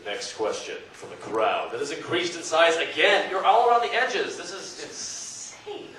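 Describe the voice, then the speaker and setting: A man hosts a casual convention panel, bantering and joking. His voice sits a little high and is heard from well off the mic. The room rings heavily with echo and crowd noise behind him. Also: lively intonation, moderate pace, fairly bright tone, distant mic. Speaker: panel moderator and amateur voice actor.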